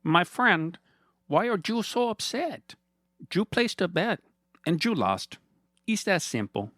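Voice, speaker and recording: A man puts on a vain, scheming villain who purrs every line with theatrical condescension. His voice sits higher than a plain speaking voice and swoops around with a lot of lilt. The sound is close and dry. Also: very animated, slow, fairly bright tone, dry recording, heavily acted. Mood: smug. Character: smug villainous male hand model. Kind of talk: comedic storytelling voice.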